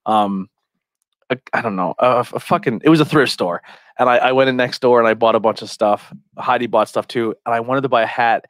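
A man's voice: mid-range voice, fairly quick, dry recording. An American man chatting casually on a podcast, telling rambling, profanity-laced stories. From Pennsylvania, US. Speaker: podcast host. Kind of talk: casual chat, anecdotes. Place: small curtained podcast room. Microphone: podcast microphone, headphones.

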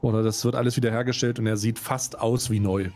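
A man with a medium-pitched voice plays a tabletop game. He makes up narration about his own character in the third person. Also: fairly even intonation, fast, a little mellow. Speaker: player in tabletop role-play.

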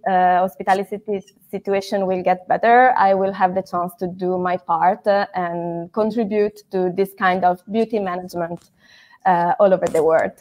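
Young Italian woman, MBA student, earnest and measured self-intro over video call. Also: mid-range voice. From Italy. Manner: earnest, measured, frequent uh pauses. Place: home living room. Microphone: video call with headset.

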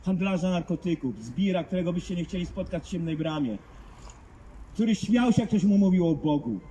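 A man's voice: sits high, lively intonation, fairly quick, mellow, slight background noise, poor audio. A man preaching outdoors to passers-by, fervent and emphatic, driving points home with rhetorical questions. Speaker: street preacher. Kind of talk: open-air evangelistic preaching. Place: outdoors in a public street.

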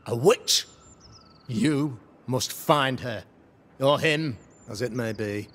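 An actor playing a pompous, pious, middle-aged preacher in a video game, with florid, oratorical delivery. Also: male voice, mid-range voice, takes it slowly, a bit bright. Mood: grave, urgent. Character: pompous, pious preacher, learned man of the cloth. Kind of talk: theatrical video game acting, sermonizing.

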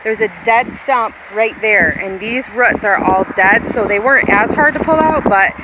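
A woman in her thirties chatting informally and self-deprecatingly to viewers, recorded on a handheld phone outdoors. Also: moderate pace. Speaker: vlogger, hobby farmer. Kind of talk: casual rambling vlog chat to viewers. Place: outdoors in an open grassy yard. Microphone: handheld phone at arm's length. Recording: windy outdoor phone recording, vehicle-like rumble. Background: wind and outdoor noise.

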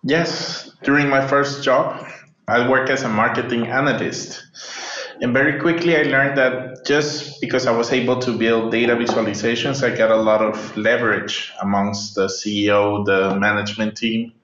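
Male guest, mid pitch, roomy dull remote sound. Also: steady tone, normal speed, a bit bright. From Colombia. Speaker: dashboarding specialist guest. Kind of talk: casual interview answers.

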